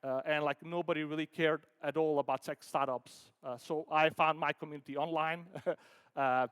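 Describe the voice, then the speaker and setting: A man from Denmark giving an informal conference talk as a startup founder, halting and conversational, peppering sentences with filler words like "like" and "you know". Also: fairly high pitch, steady tone, fairly bright tone, clear, resonant tone. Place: conference hall stage. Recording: echoey hall with long reverb.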